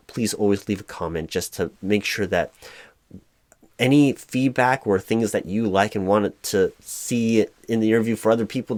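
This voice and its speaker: A man playing a flustered, hapless corporate recruiting manager in a one-sided spoken roleplay, hesitant and stammering, with an awkwardly polite, monotonous delivery. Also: lowish voice, close to an everyday voice. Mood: awkwardly formal. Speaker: job interviewer.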